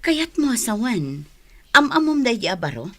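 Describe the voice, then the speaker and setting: A caring, anxious Ilocano mother of young children. Her voice sits fairly high, and she speaks in the conversational, emotive manner of radio drama. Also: sing-song, normal speed, pushed and bright, full character voice.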